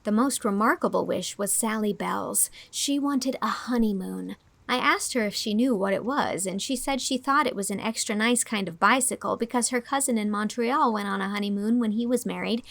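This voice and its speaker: An actress playing an amused, articulate young schoolteacher narrating a letter to a friend in an audio drama. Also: female voice, on the high side, moderate pace, creaky, a bit bright. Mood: fond, amused.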